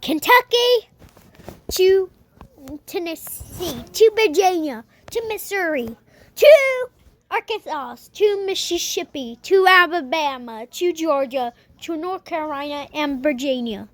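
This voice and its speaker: Very high-pitched, slow female announcer. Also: sing-song. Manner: halting, repetitive announcing with broken phrasing. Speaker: narrator of an amateur mock emergency broadcast. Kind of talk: scripted mock emergency alert announcement.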